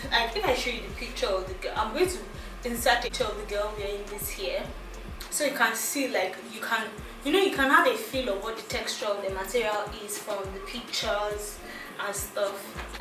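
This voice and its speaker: A young Nigerian woman with a Nigerian accent, chatting giggly and casually through a clothing try-on haul, thinking aloud with restarts. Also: mid-range voice. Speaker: fashion vlogger. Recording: echoey room, mic at a distance, music behind.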